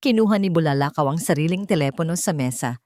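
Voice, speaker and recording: A woman's voice: low voice, lively intonation, quick pace, darkish tone, no room sound. A woman narrating a dramatic story in a scripted storytelling style.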